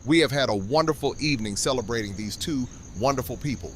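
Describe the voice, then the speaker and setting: A man's voice: mid-range voice, quick pace, a bit bright, slight background noise, natural voice. A man playing a stately community leader in an audio drama, speaking formally. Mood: warmly formal. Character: Ezekiel, stately community leader hosting a celebration. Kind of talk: fan audio drama acting.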